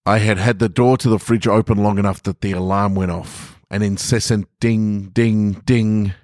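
A man's voice: on the low side, steady tone, normal speed, darkish tone, no room sound, clean studio sound. An Australian man hosting and reading short stories on a podcast, his voice affected by a lingering head cold. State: getting over a head cold. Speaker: podcast host and story reader. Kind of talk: scripted story narration with casual introduction.

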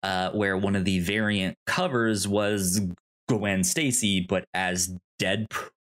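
A man co-hosting a casual pop culture podcast, talking in a halting, rambling, informal way. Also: fairly low pitch, fairly even intonation, a bit bright. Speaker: podcast co-host.

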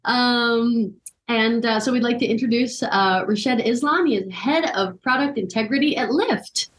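A woman's voice: a little high, unhurried, a bit bright. A woman co-hosting a podcast over a video call, laid-back and self-deprecating. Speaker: podcast co-host. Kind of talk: casual chat.

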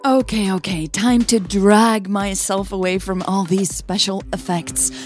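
A woman hosting an audio fiction podcast, with music behind her voice. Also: medium pitch. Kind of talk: scripted story narration and casual outro chat.

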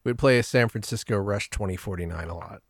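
A man's voice: on the low side, brisk, slightly rough, no echo, studio quality. A man talking casually and informally as a guest on a gaming podcast.

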